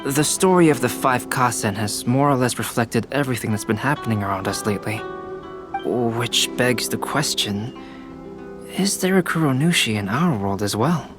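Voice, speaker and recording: A thoughtful young intellectual voiced by a man speaks politely and deliberately at a medium pitch. The lines are articulate video game dialogue with music clearly playing behind. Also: lightly acted. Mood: musing.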